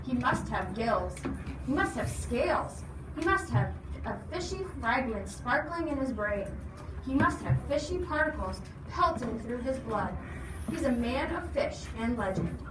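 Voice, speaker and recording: Woman, high voice, expressive story reader, explanatory asides, distant mic, background noise. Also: a little slow. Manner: expressive, explains and questions as reading. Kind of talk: animated storybook read-aloud with asides. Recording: noisy, echoey room, distant mic.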